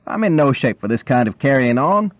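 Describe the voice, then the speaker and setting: A man's voice: mid-range voice, very animated, a little fast, voice pushed up, lightly acted. A man voicing a wry, stubborn, cheerful country man who talks in a teasing backwoods dialect. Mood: wry, mock-indignant. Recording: roomy, dull lo-fi reading.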